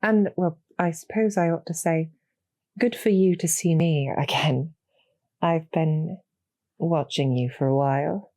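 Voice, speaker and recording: A woman voices a calm demon general from an audio drama, loyal to a queen and gently persuasive. The voice is low-set and mellow, with slow, deliberate phrasing and formal manners. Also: no room sound. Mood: calm, wry. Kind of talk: scripted roleplay audio drama.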